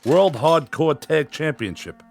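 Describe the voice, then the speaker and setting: An actor playing a gruff, impatient working-class American husband, grumbling in blunt slang in a radio drama. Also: male voice, on the high side, animated, unhurried.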